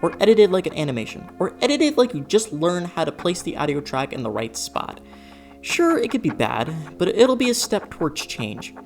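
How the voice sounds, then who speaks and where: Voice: medium pitch, sing-song, moderate pace, over music. A young adult narrating a scripted video essay in an earnest, emphatic way.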